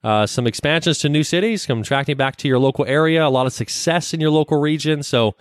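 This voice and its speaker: A man's voice: fairly high pitch, quick pace, clean tone, dry recording. A man from Canada hosting an interview podcast, friendly and rambling, with many fillers and restarts. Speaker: podcast host.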